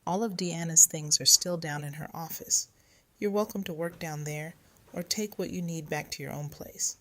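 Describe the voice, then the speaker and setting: A woman playing a calm, practical community leader in a fan audio drama, measured and direct. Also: low-pitched. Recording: noisy track with steady hum.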